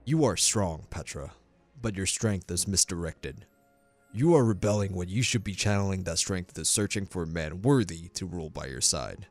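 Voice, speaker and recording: A stern king and father played by a man, very low in voice and firmly authoritative. His speech is formal and dignified, recorded dry over faint music in a fantasy audio drama. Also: lively intonation, moderate pace, no echo. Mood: stern, commanding. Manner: firm, quiet, formal, authoritative.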